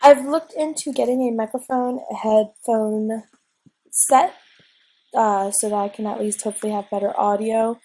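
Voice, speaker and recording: A woman's voice: a little high, steady tone, moderate pace, warm tone, low-quality recording. A young woman getting over a cold, sniffly, rambling casually with many ums as she narrates her gameplay at home. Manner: rambling, chatty, frequent ums and restarts. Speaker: let's play gamer. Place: at home at a desk.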